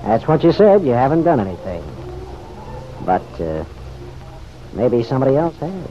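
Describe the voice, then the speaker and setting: An actor playing a folksy, slyly probing police officer in an old radio drama, with wry, slangy, unhurried questioning. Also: male voice, mid-range voice, very animated, natural voice. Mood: sly, skeptical. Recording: dull, noisy old radio recording.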